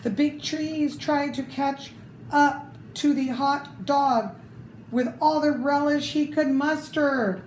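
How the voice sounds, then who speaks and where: A woman's voice: high-pitched, fairly even intonation, slow, a little mellow. A woman reading a children's storybook aloud expressively, adding little asides to the listener. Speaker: storybook reader. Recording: roomy echo, clear background noise.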